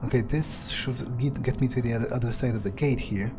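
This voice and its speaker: A man giving in-character gameplay commentary, thinking aloud in a musing, hesitant way with pauses mid-phrase. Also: mid-range voice, noisy background. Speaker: gamer doing an in-character playthrough. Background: game music.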